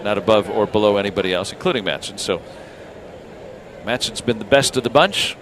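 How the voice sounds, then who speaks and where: An American man doing live radio baseball play-by-play, talking conversationally and pausing between pitch calls. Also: fairly bright tone, a little hiss or hum, rough recording. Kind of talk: live radio sports commentary.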